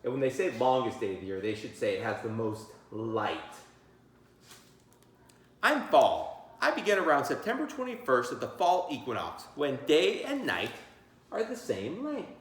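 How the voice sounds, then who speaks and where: A man's voice: mid-range voice, big pitch swings, moderate pace. A man reading a children's book aloud as a teacher, theatrical and encouraging, breaking off for chatty asides and questions. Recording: echoey room.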